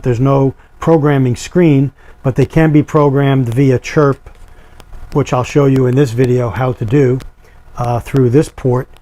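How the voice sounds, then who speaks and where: A middle-aged man giving an unscripted product demonstration in a matter-of-fact, explanatory, somewhat halting way. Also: medium pitch, mellow, no echo. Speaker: product reviewer.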